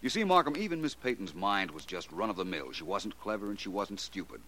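Low male voice, lively intonation, assured sleuth, articulate, vintage radio drama. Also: brisk, exaggerated voice. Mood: explanatory, assured. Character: shrewd, confident gentleman detective, coolly explaining crimes. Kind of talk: 1940s radio drama acting.

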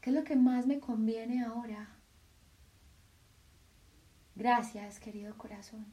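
A woman leading a guided meditation in a soft, soothing voice, with slow sighing breaths between phrases. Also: a little high, fairly even intonation, recorded from a distance.

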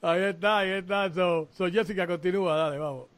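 High-pitched male talk-show host. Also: crowd noise. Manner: lively, quick asides to the co-host. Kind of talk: hosting a casual interview show.

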